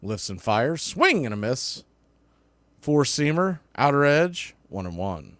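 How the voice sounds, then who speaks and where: A man's voice: medium pitch, big pitch swings, slow, a bit bright, no echo. A man calling a baseball game play by play in clipped phrases that build on big plays. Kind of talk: live play-by-play commentary.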